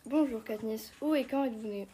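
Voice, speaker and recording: A woman interviewer reading out her questions quickly. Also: a little high, mellow, slight background noise.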